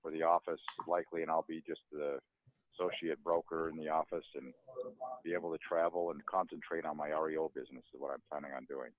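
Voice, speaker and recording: A man's voice: extremely low pitch, steady tone, fast talker. An older man, a real estate broker, chatting casually on a phone conference call. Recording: noisy phone line with clicks.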